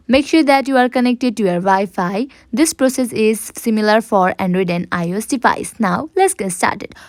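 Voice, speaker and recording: A woman's voice: mid-range voice, no room sound, studio quality. A woman narrating a step-by-step app tutorial, addressing viewers directly with simple instructions. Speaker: tutorial presenter.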